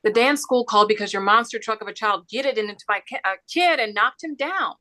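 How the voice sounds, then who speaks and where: Indignant mother played by an actress, sharp highish voice, brash woman, stage comedy. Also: a little fast. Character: brash, indignant mom arguing on the phone. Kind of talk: comedy script table read.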